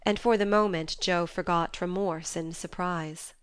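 Clear-voiced woman narrating a novel. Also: lowish voice, steady tone, unhurried, dry recording, studio quality. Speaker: audiobook narrator. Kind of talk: scripted narration of a classic novel.

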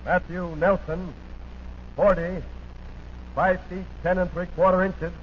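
An American man reading a police bulletin as a 1940s radio announcer, in deliberate staccato phrases with details repeated, on an old broadcast recording. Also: high voice, flat delivery, lo-fi sound, a little hiss or hum.

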